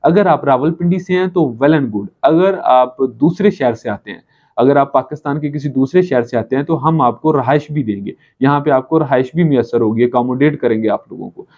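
A man's voice: medium pitch, normal speed, darkish tone. Pakistani man presenting, dull lo-fi sound. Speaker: college channel presenter. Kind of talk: promotional talk to camera. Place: small office, indoors. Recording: dull lo-fi camera audio.

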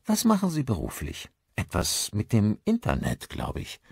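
Man voicing a chatty, lively village pastor. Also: fairly low pitch, moderate pace, vocal fry, husky, no room sound, full character voice. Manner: courteous, talkative, animated. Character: friendly, chatty village pastor, male, married.

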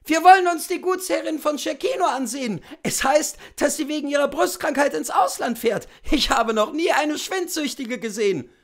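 A man voicing a nosy, excitable young village girl, gossipy, in a dramatised classic story reading. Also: extremely high pitch, moderate pace, few pauses, pitched above the performer's own voice. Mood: eager.

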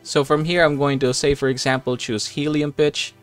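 A man hosting a software tutorial, explaining things step by step in a casual way. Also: on the high side, little pitch movement, normal speed, clean tone. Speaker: tutorial host. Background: background music.

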